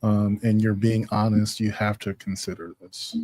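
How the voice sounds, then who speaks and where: A man's voice: lowish voice, little pitch movement, moderate pace, warm tone. Chatty male panel guest. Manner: agreeable, chatty, filler words. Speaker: guest co-host. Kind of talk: casual panel chat.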